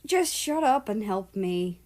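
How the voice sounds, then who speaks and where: A woman's voice: mid-range voice, expressive, slow pace, darkish tone. A woman voicing an awkward, sheepish, reserved young man in a story.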